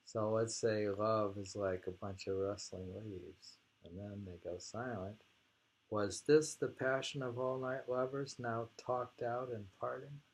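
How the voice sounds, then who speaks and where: A man casually reading poetry and talking it over in a halting, musing way. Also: fairly low pitch, fairly even intonation, rough recording. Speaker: reader and host of poetry readings.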